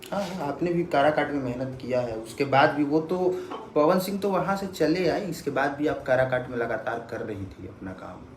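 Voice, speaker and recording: A man's voice: mid-range voice, expressive, moderate pace. Agreeable hesitant man on echoey call. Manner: hesitant, agreeable, brief murmured responses. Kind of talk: phone conversation, sympathetic listening. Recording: echoey call recording with faint noise.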